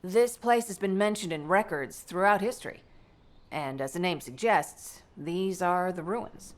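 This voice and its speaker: A woman's voice: fairly low pitch, lively intonation. Composed, articulate woman doctor. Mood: composed, analytical. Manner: measured, articulate, matter-of-fact. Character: composed scholarly female doctor and devoted mother. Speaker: medical doctor character. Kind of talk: scripted game dialogue, explanatory briefing.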